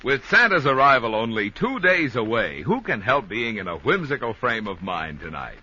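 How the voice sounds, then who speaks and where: An American man reading a playful sponsor pitch as a 1950s radio announcer. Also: big pitch swings, moderate pace, loud music behind the voice. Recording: muffled, faintly noisy 1950s radio recording.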